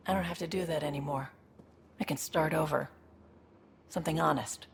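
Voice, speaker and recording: Video game role: hardened woman wanting an honest fresh start, deep gravelly delivery, plain-spoken. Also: steady tone, moderate pace, background noise. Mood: reflective, hopeful. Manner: blunt, informal, reflective.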